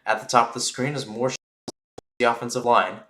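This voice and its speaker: A man's voice: mid-range voice, brisk. A man with a mid-range voice commentates live on a football game. He talks through situations in a loose, explanatory way, in the manner of radio play-by-play. The sound is noticeably echoey and roomy. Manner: rambling and explanatory between plays, excited on big plays.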